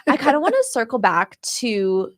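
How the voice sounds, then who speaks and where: A woman's voice: on the high side, expressive, normal speed. Curious woman asking questions. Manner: curious, asks short questions. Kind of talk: casual interview chat.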